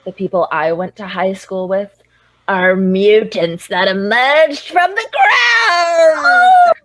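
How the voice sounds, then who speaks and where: An actress plays a tipsy young woman from a small town, dry and wry in her remarks in a radio play. She speaks in a mid-range voice at an unhurried, slow pace. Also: mid-range voice, very animated, fairly bright tone. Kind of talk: radio drama acting.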